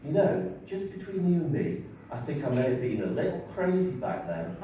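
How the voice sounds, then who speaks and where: A man's voice: on the high side, animated, moderate pace, creaky, warm tone. A man reading the part of a deluded, cheerful psychiatric patient who thinks he speaks for God in a stage play, earnest, chatty and pompous, in a very echoey, distant, noisy recording. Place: large echoey room, mic at a distance.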